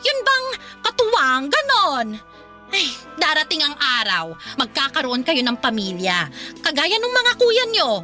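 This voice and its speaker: A woman's voice: high-pitched, wildly swooping pitch, unhurried, sharp tone, faint music behind, heavily acted. A woman with a Filipino accent playing a needy, plaintive middle-aged mother in a radio drama. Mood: pleading, self-pitying. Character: needy middle-aged mother, self-pitying, afraid of loneliness.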